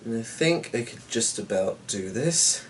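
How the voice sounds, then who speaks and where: Male story reader, very unhurried, musing, muttered asides, off-mic, faint background noise. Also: mid-range voice, lively intonation, recorded from a distance. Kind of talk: rambling solo chat and dramatic story narration.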